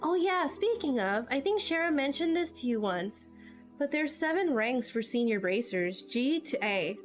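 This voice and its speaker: A woman plays a girlish young fighter from a fantasy game, perky and excitable. Her voice is high and expressive, with music clearly behind her informal, friendly delivery. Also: moderate pace. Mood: chatty. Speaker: amateur voice actor playing through a game. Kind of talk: amateur game dialogue voice acting.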